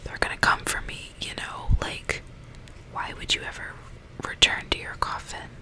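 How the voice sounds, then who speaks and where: A woman whispering softly and breathily into a close microphone, ASMR style, reading a book and chatting intimately in asides. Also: normal speed, poor audio. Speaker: ASMR reader and commentator.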